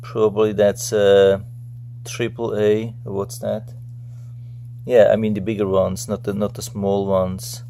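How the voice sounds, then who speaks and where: A man's voice: lowish voice, level tone, slow, vocal fry, mellow, a little hiss or hum. A man giving a casual, unscripted product unboxing commentary, thinking aloud in a hesitant, rambling way. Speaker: product reviewer.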